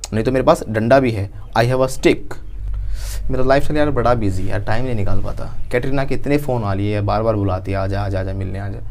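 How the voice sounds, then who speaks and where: A man's voice: mid-range voice, darkish tone, a little hiss or hum. A man in his thirties teaching a spoken English lesson, acting out little everyday scenes as he goes. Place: dark studio.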